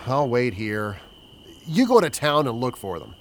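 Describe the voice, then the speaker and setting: A man's voice: mid-range voice, big pitch swings, takes it slowly, a little hiss or hum. A man playing a curt, disdainful boss ordering henchmen around in an audio drama. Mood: irritated. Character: irritable, bossy male master of two henchmen.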